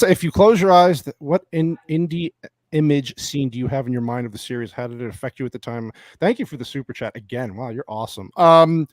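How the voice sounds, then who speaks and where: A man hosting a casual podcast, excitable, swearing freely and laughing often as he talks. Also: on the high side, dry recording. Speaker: podcast host.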